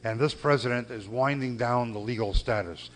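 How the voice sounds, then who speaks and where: An older man gives an earnest, measured speech at a gala podium. He pauses often and repeats key phrases. A faint background hiss sits under his mid-pitched voice. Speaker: civil rights lawyer giving a gala speech. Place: banquet hall.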